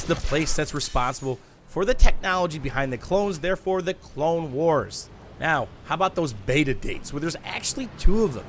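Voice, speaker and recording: A man with a slightly high voice narrates gameplay for his viewers in an emphatic presenter style. He draws out the words he wants to stress. Faint game ambience and noise sit behind his voice. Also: a bit bright. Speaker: gaming YouTuber. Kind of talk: scripted-sounding gameplay commentary, addressing viewers. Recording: clear background noise.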